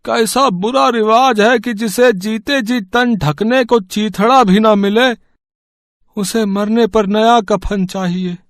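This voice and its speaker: Slow, high-voiced old village father acted by a man. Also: no echo, clean studio sound. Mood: complaining. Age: older. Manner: drawn-out, plaintive, rustic speech. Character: wheedling, callous old village father, poor labourer. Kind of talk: acted Hindi audio story dialogue.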